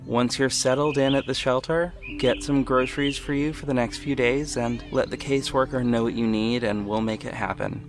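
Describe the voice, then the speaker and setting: A man playing a protective, self-assured ex-cop detective with a measured, firm delivery in an audio drama. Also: medium pitch, fairly even intonation, close to an everyday voice. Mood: reassuring. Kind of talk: first-person audio drama narration and dialogue. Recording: music bed behind the voice. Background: music.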